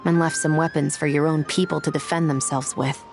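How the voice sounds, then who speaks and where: An actress playing a composed female police officer in a game, speaking in a formal, firm and measured way. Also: sits low, fairly even intonation. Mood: stern. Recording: game dialogue over loud music.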